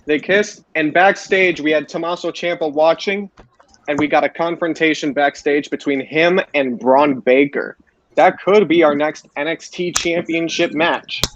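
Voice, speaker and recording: A man's voice: fairly high pitch, measured pace, a bit bright. A man hosting a podcast in a casual recap style, recorded on a dull lo-fi microphone. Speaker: podcast host. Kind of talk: casual wrestling show recap.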